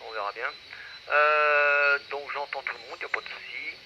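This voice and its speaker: A man's voice: fairly high pitch, steady tone, moderate pace, highs cut off, background noise. A man, an amateur radio operator, chatting casually in a rambling, self-correcting way over a radio transmission.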